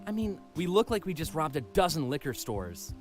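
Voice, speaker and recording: A man's voice: on the high side, very animated, moderate pace, faint music behind, voice pushed up. A man voicing a teasing, easily flustered young man with a big ego in a visual novel. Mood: wry.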